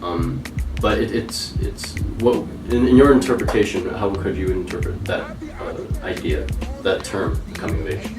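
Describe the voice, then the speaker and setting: A teenage high school student interviewing a guest for a school project, halting with many fillers and restarts. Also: male voice, echoey room, recorded from a distance, music in the background, rough recording. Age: teens. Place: recording studio.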